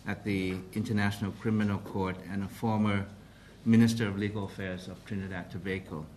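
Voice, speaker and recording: Hesitant low-voiced man briefing the press. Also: little pitch movement, darkish tone, a little hiss or hum. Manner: hesitant, formal, many pauses and restarts. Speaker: council president briefing the press.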